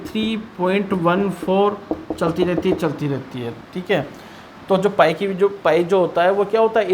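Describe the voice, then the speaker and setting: A man's voice: high-pitched, a little hiss or hum, low-quality recording. An Indian man teaching maths in an explanatory lesson style, asking and answering his own questions and repeating key points.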